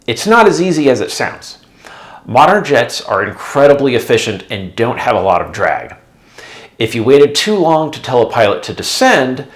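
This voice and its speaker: A man narrating a scripted explainer in a didactic, conversational way. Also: mid-range voice. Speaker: presenter, former air traffic controller.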